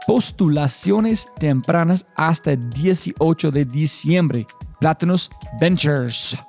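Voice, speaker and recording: A man's voice: fairly high pitch, expressive, slow, a little mellow, faint music behind. A man hosting a podcast, opening with an enthusiastic, emphatic intro and then interviewing a guest. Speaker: podcast host.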